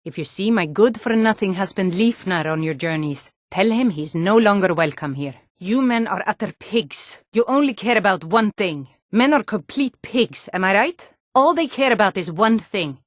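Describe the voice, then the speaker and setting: An actress voicing plain-spoken Nord townswomen, guards and traders in scripted fantasy video game dialogue. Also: female voice, medium pitch, animated, moderate pace, hardly any pauses, no room sound, clean studio sound, natural voice. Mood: scornful, bitter.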